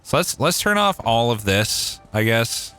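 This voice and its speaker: A man's voice: medium pitch, big pitch swings, measured pace, bright, forceful tone, clear, resonant tone, soft background music. A young Canadian man with a Maritime accent, thinking aloud and swearing casually as he streams a game. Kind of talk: casual gameplay livestream chat.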